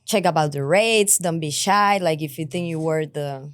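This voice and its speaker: Venezuelan-accented woman, low smooth voice. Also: dry recording. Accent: Venezuelan Spanish. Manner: non-native English, halting, laughs often. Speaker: podcast guest. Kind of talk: casual chat.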